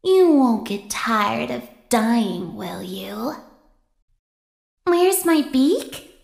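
A hungry, sinister animatronic chicken in a horror game. It teases very slowly in a creaky, breathy voice that echoes. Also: female voice, fairly high pitch, sing-song, a little mellow, full character voice. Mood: menacing. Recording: echoey room sound.

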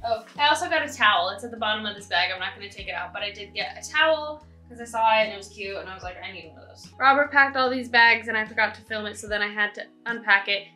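A woman's voice: medium pitch, sharp tone, soft background music. A young woman in her twenties vlogging casually to camera, chatty and upbeat but tired, recorded in a hotel room and a small cabin with the camera close by or at arm's length. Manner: chatty, upbeat, quick asides and laughs. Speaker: cruise ship dancer vlogger. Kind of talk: casual vlog talking to camera. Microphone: camera on a tripod or held at arm's length.

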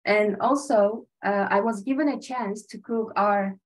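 A woman's voice: medium pitch, measured pace, poor audio. A woman from Tajikistan, sharing her teaching exchange experience in an earnest, conversational way with many fillers over a video call. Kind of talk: online panel presentation with slides.

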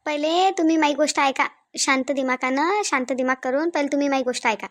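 A sensible, protective village sister-in-law, played by a woman, high in pitch. She reasons insistently in a rural Vidarbha Marathi accent, as in a homemade family drama. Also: a little fast, close to an everyday voice. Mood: calming.

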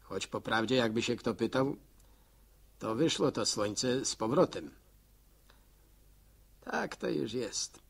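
A wry village postman muses aloud in a slow, homespun way. His husky voice rises and falls expressively as he goes. It is old radio-drama narration. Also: mid-range voice, lively intonation, full character voice. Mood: musing. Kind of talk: rural storyteller monologue in a radio drama.

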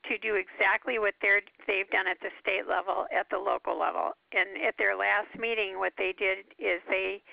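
A woman's voice: mid-range voice, vocal fry, no echo. A woman speaking as a guest on a conference call, explaining things informally over a telephone. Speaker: guest speaker, local activist leader. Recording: dull, lo-fi telephone line.

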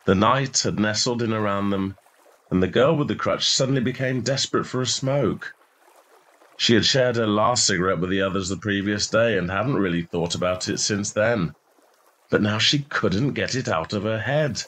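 Male story narrator, lower-pitched voice, measured delivery of literary prose. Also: fairly bright tone. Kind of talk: scripted short story narration.

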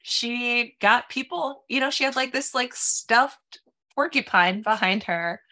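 A woman in her thirties, raised in Minnesota, chatting as a guest on a video-call podcast, animated and full of casual fillers. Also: a little high, slow pace. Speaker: podcast guest, sales executive. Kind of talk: casual interview chat. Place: home office, small room.

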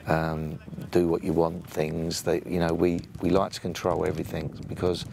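An English man in his sixties with a booming voice, speaking plainly with many ums and restarts as an assistant football coach in a sit-down interview outdoors by a training pitch. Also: monotone, moderate pace, gravelly, heavy background noise. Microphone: off-camera mic, no lapel.

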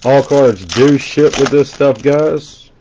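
A man's voice: mid-range voice, warm tone, highs cut off, a little hiss or hum. Stuffed-up man rattling off names. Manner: rattles off player names rapidly, chatty asides. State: congested. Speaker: trading card breaker. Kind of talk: casual live-stream commentary while opening packs. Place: indoor room at a table.

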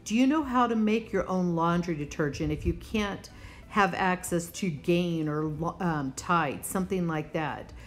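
A woman's voice: lowish voice, measured pace. A woman hosting a preparedness vlog, talking casually and instructively, plainspoken and speaking straight to the viewer. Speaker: host of a prepping channel.

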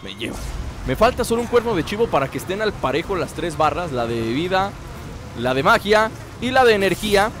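Stuffy-voiced Mexican man, lively gameplay banter. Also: high-pitched, fairly quick, a little hiss or hum. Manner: playful, jokey, bursts into excited shouts. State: stuffy from a cold. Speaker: gameplay commentator. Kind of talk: casual let's-play commentary. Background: game music.

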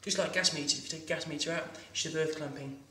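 A man's voice: fairly high pitch, fairly even intonation, rapid-fire, pushed and bright, distant mic. A man in his thirties giving a hands-on plumbing demonstration in a training workshop, explaining step by step and often restarting his sentences. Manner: practical, instructive, stumbling restarts. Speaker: plumbing instructor. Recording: echoey room, voice a bit off-mic.

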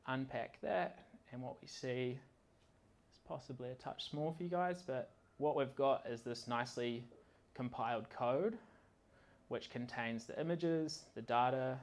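A man presenting an informal technical demo at an indoor meetup, speaking casually and a little haltingly as he works through the software. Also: medium pitch. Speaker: conference speaker, software consultant. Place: indoor meetup venue with large windows and projector screen.

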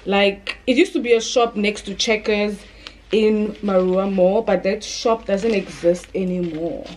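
Female vlogger, twenties. Medium pitch, informal thinking-aloud delivery, noisy home camera sound. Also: fairly even intonation, measured pace, darkish tone. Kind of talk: casual chat to camera. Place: indoors, bedroom and bathroom at home.

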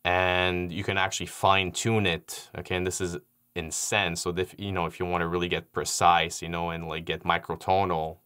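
Low-voiced young man teaching audio software. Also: moderate pace, clear, resonant tone. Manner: relaxed explanatory, frequent fillers. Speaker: audio editing tutor. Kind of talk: software tutorial. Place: dark studio with piano. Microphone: studio microphone on stand.

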